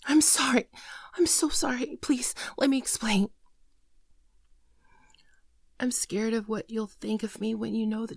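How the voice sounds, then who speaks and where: A woman playing a frightened, apologetic shapeshifting alien posing as a human girlfriend in an intimate ASMR roleplay, halting and tearfully pleading, often dropping to a whisper. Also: a little high, gravelly, dry recording, studio quality. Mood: pleading, distressed.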